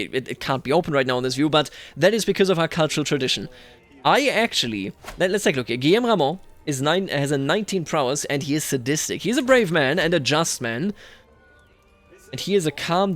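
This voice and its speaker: A man's voice: on the high side, fairly bright tone. A man hosting a gaming video, thinking aloud in a musing way as he roleplays a strategy game. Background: music.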